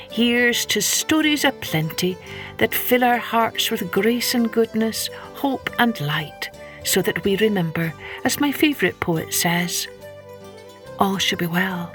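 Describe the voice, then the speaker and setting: A woman hosting a storytelling podcast, narrating in a measured, expressive scripted storybook style. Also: mid-range voice.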